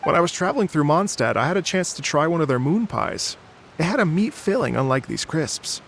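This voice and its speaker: An actor playing a polite, dreamy travelling musician in a video game, courteous, hesitant and slightly flustered. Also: male voice, on the high side, expressive, fairly quick, creaky, fairly bright tone, slight background noise.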